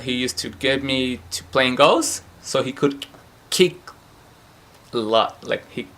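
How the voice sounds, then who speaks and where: A young man from Brazil with a Brazilian Portuguese accent, answering interview questions at home in a relaxed, hesitant way with many fillers. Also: mid-range voice, creaky. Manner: relaxed, hesitant, frequent fillers and light laughs. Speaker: futsal player. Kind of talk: casual interview.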